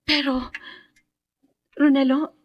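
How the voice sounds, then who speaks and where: A woman playing a kind, gentle, curious young woman in a radio drama. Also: high voice, level tone, slow, highs cut off. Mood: worried.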